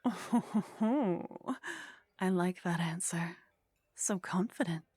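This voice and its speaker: A woman playing a haughty, flirtatious vampire in an intimate ASMR roleplay, speaking softly and close to the microphone with a teasing, condescending manner that often drops toward a whisper. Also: on the low side, very slow, dry recording, exaggerated voice. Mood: taunting, amused. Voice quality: soft, breathy.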